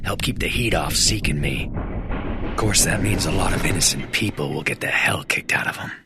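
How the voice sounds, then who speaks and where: A man playing a gritty, bitter young antihero, narrating a video game in a terse, brooding, gravelly voice. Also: low voice, fairly quick, loud music behind the voice. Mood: cold, calculating. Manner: brooding, terse, hard-boiled delivery.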